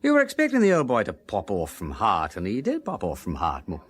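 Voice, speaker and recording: An actor playing a breezy, witty aristocratic gentleman sleuth with an upper-class English accent and airy, affable manner in a radio drama. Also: on the low side, huge pitch swings, brisk, husky, heavily acted. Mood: breezy, reassuring. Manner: affable, airy, old-fashioned slang, dithering politely.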